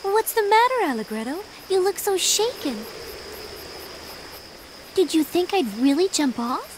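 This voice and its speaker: A woman playing a gentle, selfless, gravely ill teenage girl in a video game cutscene, soft-spoken and earnest. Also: very high-pitched, big pitch swings, a little slow, a little hiss or hum, exaggerated voice. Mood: calm, reassuring.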